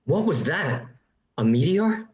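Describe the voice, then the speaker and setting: An actor playing a puzzled, level-headed suburban husband in a radio play. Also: male voice, a little high, sing-song, slow pace, a little mellow. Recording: slightly muffled home recording.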